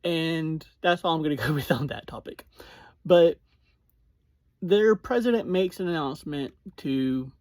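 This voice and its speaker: A reviewer hosting a casual recap, talking in short, halting phrases. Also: medium pitch, a little mellow, no echo. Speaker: podcast reviewer and host.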